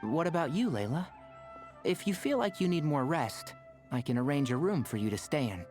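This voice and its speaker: Casting: caring, dryly exasperated game medic, articulate, animated mid-range voice with music softly behind. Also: male voice, on the high side, moderate pace. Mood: calm, practical. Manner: articulate, practical, gently scolding. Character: brisk young male doctor, caring but dryly exasperated. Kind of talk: video game voice acting. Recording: faint music under the voice.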